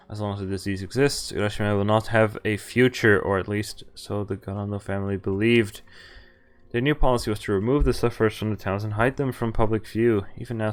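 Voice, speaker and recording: A man streaming a visual novel, reading its text aloud and breaking off to swear and react. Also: fairly low pitch. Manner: reads narration evenly, breaks off to swear and react.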